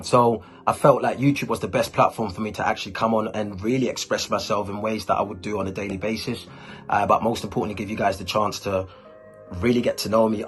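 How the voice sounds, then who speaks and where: A man with a lowish voice talks to camera in a relaxed, informal vlogging style. He is chatty and uses urban slang, with faint music playing behind him. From Ghanaian, born and raised in Germany. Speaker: YouTuber, host. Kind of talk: casual talk to camera answering questions.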